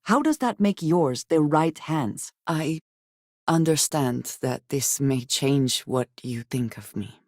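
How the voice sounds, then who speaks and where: A woman voicing a guarded, serious female space explorer companion in a video game, with measured, formal diction. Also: low voice, animated, darkish tone, no room sound, clean studio sound, heavily acted. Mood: firm, resolute, then earnest, guarded. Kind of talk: video game character dialogue.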